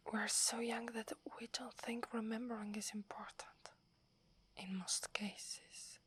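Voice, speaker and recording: A woman's voice: a little high, steady tone, a little slow, a bit bright. A woman with an Italian accent voicing a devoted young female werewolf who defers to her alpha, in a whispered ASMR roleplay. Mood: earnest, reverent. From Italy. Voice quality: breathy whisper. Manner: hesitant, reverent, submissive. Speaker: voice actress.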